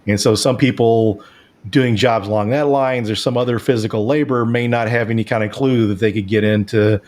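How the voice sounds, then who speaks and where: A man's voice: medium pitch, steady tone, fairly quick, darkish tone. A man hosting a podcast in a conversational interview style. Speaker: podcast host.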